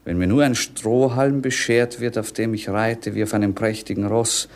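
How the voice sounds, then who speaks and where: An actor in a 1950s German radio drama playing a melancholy, world-weary young prince with a lyrical, theatrical delivery. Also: male voice, fairly low pitch, fairly even intonation, normal speed, a little mellow, close to an everyday voice. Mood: brooding.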